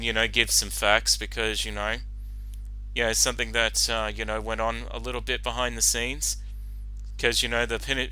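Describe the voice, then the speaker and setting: A man's voice: mid-range voice, bright, forceful tone, poor audio. A man giving casual, off-the-cuff reaction commentary in a rambling, hesitant manner.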